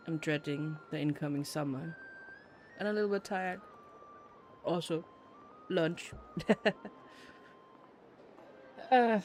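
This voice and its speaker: A streamer chatting casually in a rambling, giggly, expressive way. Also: mid-range voice. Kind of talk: casual livestream chat.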